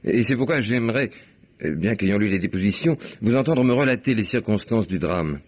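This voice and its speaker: An actor playing a wry investigator with a measured, dryly amused manner in a vintage French radio mystery. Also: male voice, lowish voice, lively intonation, a little mellow. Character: wry, unhurried male investigator questioning a witness. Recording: dull vintage radio recording.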